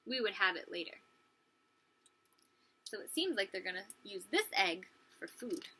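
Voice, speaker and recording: A woman preschool teacher reading a story aloud to young children, expressive and pausing to ask them questions. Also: medium pitch, slight background noise, low-quality recording. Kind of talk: animated children's read-aloud with questions to listeners.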